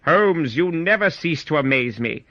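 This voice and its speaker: Guy doing a genial victorian gent narrating, formal and courteous, very animated pitch, dry slightly dull recording. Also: unhurried, no room sound. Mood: amiable. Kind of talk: scripted first-person story narration.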